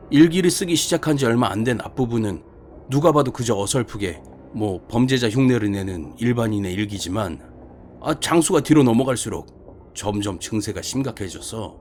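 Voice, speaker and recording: Man acting as a gruff, blunt senior detective. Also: mid-range voice, a little mellow, a little hiss or hum, lightly acted. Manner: colloquial, emphatic, scolding storyteller. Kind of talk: dramatized horror short story reading.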